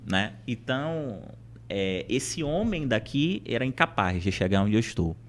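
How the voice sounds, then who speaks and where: A man's voice: medium pitch, big pitch swings, a little slow. A Brazilian man speaking as a podcast guest, explaining things in a conversational, motivational way with rhetorical questions and emphatic repetition.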